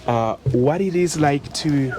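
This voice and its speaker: A man's voice: a little high, takes it slowly, dark tone. A man interviewing guests in a casual talk, encouraging them with short affirmations. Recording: faint background noise.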